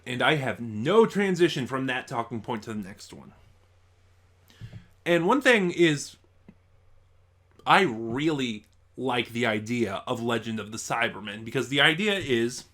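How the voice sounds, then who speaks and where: A man hosting a casual spoken review, talking in halting phrases with thoughtful pauses. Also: mid-range voice, expressive, a bit bright. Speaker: reviewer, channel host.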